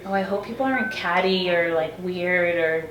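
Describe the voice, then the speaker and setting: A woman's voice: on the low side, normal speed, reverberant, noisy background. A young woman, a singer-songwriter, chatting casually in an interview, filling her talk with "like.". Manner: chatty, many "like" fillers.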